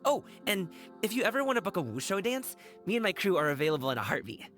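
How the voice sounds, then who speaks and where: An actor voicing a friendly, eager young courier guard and troupe leader in a video game, with a casual manner. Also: male voice, high voice, big pitch swings, quick pace, a bit bright, soft background music. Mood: upbeat, friendly. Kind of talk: video game character dialogue.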